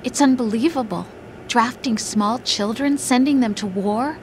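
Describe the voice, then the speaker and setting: A woman's voice: on the high side, measured pace, a little hiss or hum, natural voice. A woman playing a worried young partner. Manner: worried and pleading. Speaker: game character. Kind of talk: video game cutscene dialogue. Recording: game audio with faint music.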